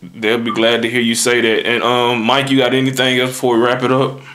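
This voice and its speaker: A man hosts a casual interview with a middle-pitched voice, friendly and halting, filling pauses with ums. A faint hiss of noise sits under him. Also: monotone. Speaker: interview host.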